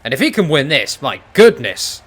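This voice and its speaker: A young man casually commentating a fight video game, excitable and breaking into shouts at big moments. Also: medium pitch, moderate pace, breathy edge.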